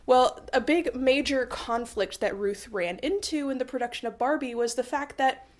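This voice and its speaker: A young woman with a slightly high voice, stuffed up from a cold, talks through history in a loose, rambling way. She keeps breaking off for dry, self-deprecating jokes while recording in her small apartment. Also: moderate pace. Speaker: history video host. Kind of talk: casual history explainer.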